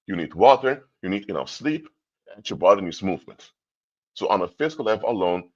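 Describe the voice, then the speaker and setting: A man's voice: deep voice, a bit bright, muffled recording. A man from Curaçao, giving a livestream talk in a lecturing tone full of rhetorical questions. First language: Papiamento. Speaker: commentator. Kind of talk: livestream commentary.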